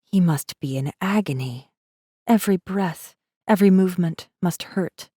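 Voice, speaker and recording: A guarded rebel messenger, voiced by a woman, tells her story in a deep, dark female voice. The audiobook delivery is tense, measured and dramatic, with a very dry, close sound. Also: slightly rough, no echo. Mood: probing, curious. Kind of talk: dramatic first-person audiobook narration.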